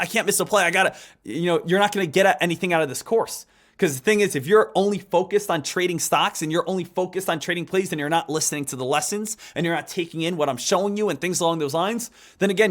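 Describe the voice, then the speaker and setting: 30s guy with a high voice teaching a trading class, pumped up, keeps repeating himself. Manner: energetic, repetitive, coaching tone. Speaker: trading instructor. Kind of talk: live trading lesson.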